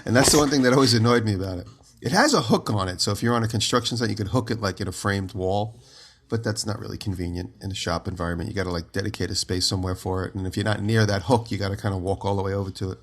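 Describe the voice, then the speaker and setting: Low-voiced man telling a rambling story. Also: rapid-fire, slight background noise. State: just woke up, voice lower than usual. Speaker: podcast co-host. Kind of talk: casual chat. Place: his home.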